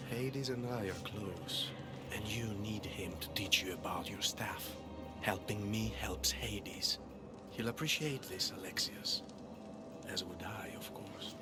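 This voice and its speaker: Over loud music, a scheming, falsely humble traitor from a video game underworld. His lowish voice is smooth and deliberate, with breathy, ingratiating courtesy that sinks toward a whisper. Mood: sly, persuasive. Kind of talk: video game cutscene acting.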